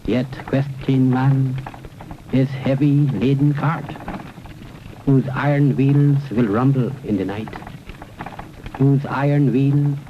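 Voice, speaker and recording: On a dull, noisy old film soundtrack, a man recites poetry as documentary narration. His delivery is slow and solemn, each phrase measured and dramatic, in a mid-pitched voice. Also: steady tone.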